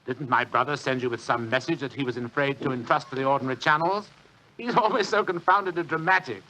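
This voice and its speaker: An actor playing a lazy, pompous, shrewd British government official with a grumbling, dryly superior manner, in 1940s radio drama style. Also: male voice, medium pitch, fast talker, sharp tone, lightly acted, rough recording. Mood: amused, courteous.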